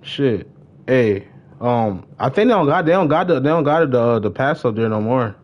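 A man's voice: mid-range voice, animated, moderate pace. Man gaming on stream, slightly muffled audio. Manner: slangy, repeats phrases. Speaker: livestreamer and gamer. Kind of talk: casual gaming livestream banter.

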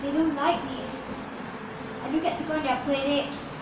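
A woman acting a lonely, gentle, insecure young girl in a live musical stage play. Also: sits high, little pitch movement, moderate pace, background noise, close to an everyday voice. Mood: dejected. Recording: very echoey stage, distant mic, loud music.

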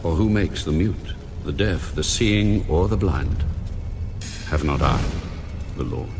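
An actor voicing God as a grave, commanding deity in a dramatized audio Bible, speaking with deliberate authority. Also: male voice, sits low. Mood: stern. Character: God, commanding, solemn, all-powerful male deity. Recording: dramatic music under the voice.

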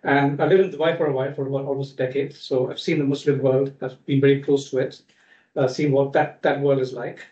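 A man from India with an Indian accent, introducing himself at a round-table meeting in a measured way. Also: mid-range voice, level tone, a little mellow. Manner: measured, with frequent "uh" and "kind of". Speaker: panelist. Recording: distant, echoey, dull lo-fi room sound.

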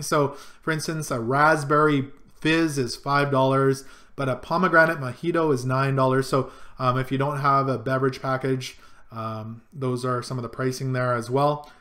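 A man in his thirties hosting a casual screen-share tutorial from a small home office, listing items in a relaxed way with frequent ums, recorded on a desk webcam. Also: mid-range voice. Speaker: cruise vlogger.

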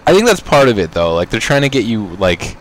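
A man's voice: on the low side, big pitch swings, fairly quick, rough recording. A man co-hosting a video game session, bantering casually and laughing often. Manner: laughs often, joking and self-aware. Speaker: gameplay co-host. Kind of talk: casual let's-play banter.

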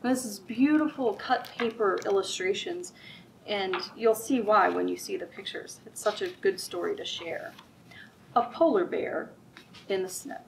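A woman's voice: mid-range voice, normal speed, creaky. A middle-aged woman hosting a children's storytime, reading and chatting to young listeners with simple wording. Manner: asks the listener frequent simple questions. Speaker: children's librarian and storytime host. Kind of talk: children's storytime read-aloud and chat. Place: library room with bookshelves. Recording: distant mic, audible room noise.

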